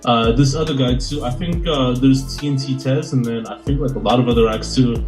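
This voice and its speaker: A young man interviewing a guest in a casual, halting way, leaning on fillers. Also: medium pitch, a little mellow, reverberant, soft background music.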